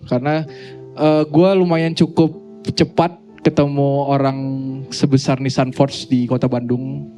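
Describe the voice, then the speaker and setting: A man's voice: a little high, level tone, measured pace, mellow, soft background music. A young man chatting casually and in slang as a musician guest in an interview. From Sumatra, Indonesia. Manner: informal, slangy.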